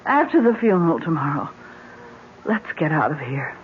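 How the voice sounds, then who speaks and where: Anxious wife, tinny old radio. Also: female voice, deep voice, sing-song, moderate pace. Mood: pleading, weary. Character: anxious, practical wife pleading against a ghostly danger. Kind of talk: old-time radio mystery drama acting. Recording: thin phone-like old radio, faint noise.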